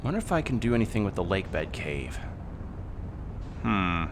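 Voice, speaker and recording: Casual male streamer, lowish voice, relaxed musing commentary, faint noise behind. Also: normal speed. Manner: thinking aloud, musing with hums; relaxed, soft-spoken.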